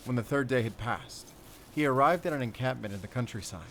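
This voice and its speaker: An actor playing a solemn werewolf hunter and spy in an audio drama, speaking in a measured, earnest, dramatic way. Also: male voice, mid-range voice, fairly bright tone, slight background noise, lightly acted. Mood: solemn, wistful. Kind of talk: cinematic audio roleplay acting.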